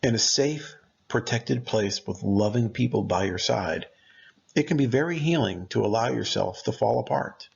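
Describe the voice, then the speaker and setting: Male meditation narrator, mid-pitched and creaky, gentle deliberate delivery, slightly dull recorded sound. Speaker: meditation guide.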